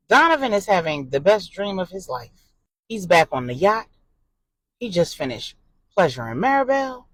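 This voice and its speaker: A woman narrating an adult drama story in an expressive, suspenseful way and voicing the characters' dialogue. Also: mid-range voice, breathy edge, dry recording.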